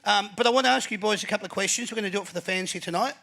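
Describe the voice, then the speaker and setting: A high, gravelly Australian man hosts a live footy panel show in front of a crowd. He sounds bright and energetic, building up each introduction like an announcer, and he fills the gaps with plenty of ums. His voice sits high for a man. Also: rapid-fire. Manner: energetic announcer, talks with many fillers. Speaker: host. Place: live venue before an audience.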